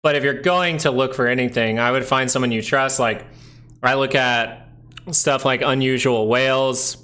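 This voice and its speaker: A man's voice: mid-range voice, steady tone, moderate pace, bright, forceful tone. A man with a nasal voice hosting a casual live stock market stream, chatting in a rambling way with many fillers. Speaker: stock market livestream host.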